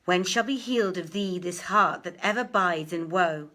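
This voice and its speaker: A woman's voice: fairly low pitch, moderate pace, pushed and bright. A woman voicing a sorrowful, humble young merchant in a plaintive, declamatory reading of an archaic tale. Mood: lovelorn, grieving. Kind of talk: dramatic storybook reading of archaic verse and dialogue.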